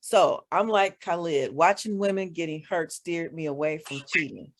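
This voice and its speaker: A woman's voice: deep voice, normal speed. A woman hosting a talk show in a casual, conversational style.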